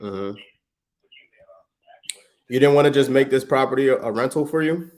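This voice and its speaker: A man from Trinidad, hosting a livestream and making a relaxed, friendly sales call to a property owner, full of slang and quick restarts. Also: mid-range voice. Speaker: real estate investor, livestream host. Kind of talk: casual livestream chat and sales phone call.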